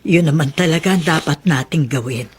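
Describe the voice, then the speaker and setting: A low, breathy, very husky voice from an actress as a devout Filipino aunt. She is supportive and gently urging, in melodrama style. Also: a little fast, dry recording. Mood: earnest.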